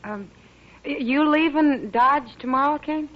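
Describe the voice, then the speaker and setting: A woman's voice: high-pitched, measured pace. An actress playing a friendly, curious frontier town woman in a 1950s radio western, on a muffled old recording. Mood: friendly, interested.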